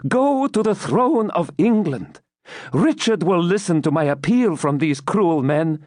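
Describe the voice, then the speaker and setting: A man's voice: a little high, lively intonation, a little slow, darkish tone, no room sound, studio quality. A man voicing a brave, devout young woman captive who speaks with earnest, solemn defiance in a storybook reading. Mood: defiant.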